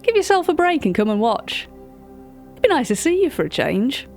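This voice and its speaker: An actress playing a chatty, wry British gallery writer, telling a story directly to a listener in audio drama narration. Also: female voice, sits high, very animated, normal speed, a little mellow, soft background music.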